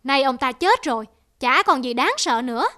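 A woman's voice: high-pitched, expressive, moderate pace, sharp tone. A woman voicing an aggrieved poor villager in a cartoon folktale, bitter and complaining. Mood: bitter relief. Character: aggrieved poor male villagers under a tyrant.

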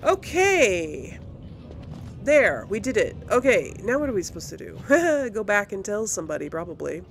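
A woman's voice: medium pitch, sing-song, moderate pace, slight background noise. A woman hosting a let's play, chatting casually and thinking aloud as she comments on her game.

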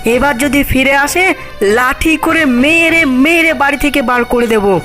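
A woman's voice: sits high, a little slow, bright, forceful tone, soft background music. A woman voicing a cruel, scolding village aunt in a cartoon fairy tale. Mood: spiteful.

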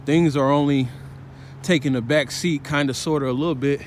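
A man's voice: fairly high pitch, steady tone, normal speed. Man preaching while walking outdoors. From Chicago, United States. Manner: preachy, street-slang, repeats "all right?" and "man". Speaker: street preacher vlogger. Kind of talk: walking vlog commentary. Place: city sidewalk outdoors, walking. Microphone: phone held while walking. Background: faint traffic and street ambience.

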